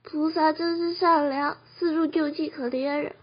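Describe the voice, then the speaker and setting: A woman's voice: high voice, fairly even intonation, normal speed, close to an everyday voice. A teenage girl playing an eager, obedient young attendant to a deity in an amateur radio drama. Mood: admiring, gentle. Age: teens. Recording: muffled lo-fi recording.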